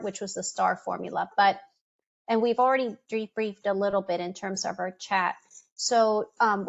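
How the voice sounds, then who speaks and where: A woman whose first language is Spanish presents a career webinar over a video call. She speaks in a quick, conversational coaching tone with frequent ums. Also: on the high side.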